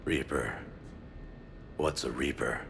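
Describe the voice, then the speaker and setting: A man playing a bewildered mining colonist in a video game, gravelly, groggy and subdued. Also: extremely low pitch, fairly even intonation, takes it slowly, background noise, full character voice. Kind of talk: dramatic video game acting. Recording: loud music over the voice. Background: game music.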